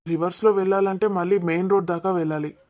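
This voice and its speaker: A man's voice: high voice, fairly even intonation, fast talker, darkish tone, lightly acted. A man voicing a polite, mild young man in a cartoon comedy, matter-of-fact in manner. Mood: mild, pondering.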